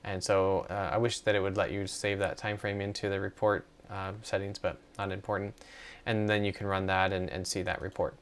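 Low-voiced man, casual walkthrough. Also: little pitch movement, fairly quick, smooth. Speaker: tutorial presenter. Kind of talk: casual screen walkthrough narration.

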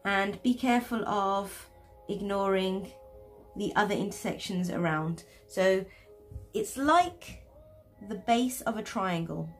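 A woman's voice: mid-range voice, lively intonation, slow pace, soft background music. A woman talking viewers through drawing steps at her desk, chatty and self-deprecating. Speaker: art teacher. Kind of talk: step-by-step drawing tutorial.

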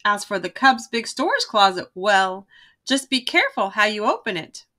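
A woman narrating a children's storybook aloud in an expressive, emphatic way. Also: mid-range voice, normal speed.

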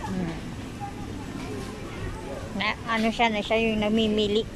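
A woman's voice: medium pitch, flat delivery, a little slow, crowd noise, background noise. A woman narrating a casual vlog on her phone while she shops in a supermarket, chatty and laughing often. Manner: chatty, mixes English and Filipino, laughs often. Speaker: vlogger. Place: large indoor supermarket. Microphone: phone camera held while walking.

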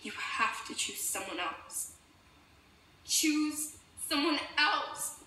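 A young woman playing a brave, determined teenage island girl in an animated film, with earnest, emphatic delivery. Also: sits high, slow pace, exaggerated voice. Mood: despairing. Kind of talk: animated film character dialogue. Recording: echoey, distant, faint ambient noise.

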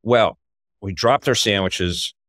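An older man, a podcast host, telling a story in casual talk over a video call from a home office, his voice climbing when he gets excited. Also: fairly low pitch, takes it slowly, dry recording, clean studio sound.

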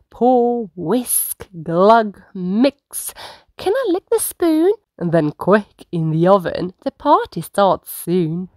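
A woman reading a children's picture book aloud as storytime host, with dramatic phrasing and drawn-out words. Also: mid-range voice, no room sound, clean studio sound.

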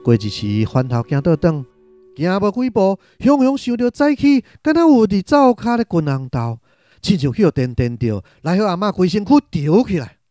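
A man's voice: high-pitched, very animated, moderate pace, mellow, soft background music. A man with a Taiwanese accent narrating a literary radio drama from a script in a dramatic style.

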